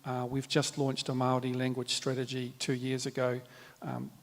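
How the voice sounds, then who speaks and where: A man with a New Zealand accent, speaking at a live panel in a measured, reflective way with many fillers and restarts. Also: medium pitch, completely flat delivery, gravelly. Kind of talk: formal panel talk with Māori greetings. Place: large hall at a live event. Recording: reverberant hall.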